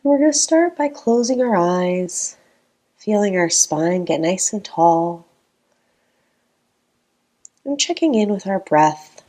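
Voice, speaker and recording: A woman leading a guided meditation in a calm, gentle, unhurried manner with long pauses between phrases. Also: mid-range voice.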